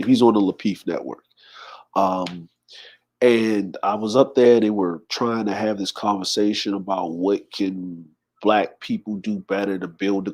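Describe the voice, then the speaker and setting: 40 yr old guy chatting on his livestream, lowish voice, laid back, shouting out the chat. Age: 40s. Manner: relaxed, shouts out viewers and reads comments aloud. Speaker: livestream host.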